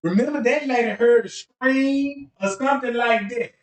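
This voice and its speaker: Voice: medium pitch, normal speed. A livestream host talking casually over video footage, pointing out details to viewers. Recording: echoey room.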